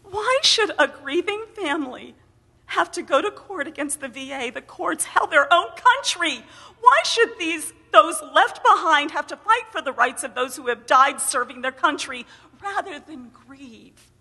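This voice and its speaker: A woman's voice: sits high, lively intonation, measured pace, pushed and bright. An American woman giving an emphatic, theatrical dramatic reading of a first-person story. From United States. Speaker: reader at a storytelling event. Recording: reverberant hall.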